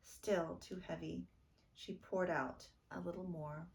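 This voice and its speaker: A woman reading a children's book aloud as a storytime narrator, expressive and deliberate. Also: fairly low pitch, vocal fry, poor audio. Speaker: storytime reader.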